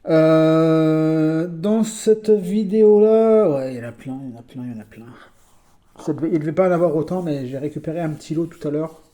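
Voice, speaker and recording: Chatty French collector with a mellow voice. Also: male voice, fairly high pitch, moderate pace. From France. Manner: chatty, hesitant with many fillers. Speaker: toy vehicle collector and channel host. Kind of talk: casual video presentation.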